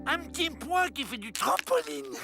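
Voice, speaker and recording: An actor playing a cheery, dim outlaw man in an animated cartoon, eager and goofy. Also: very high-pitched, moderate pace, creaky, loud music behind the voice, exaggerated voice. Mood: gleeful. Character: cheery, dim outlaw brother who tells lame jokes.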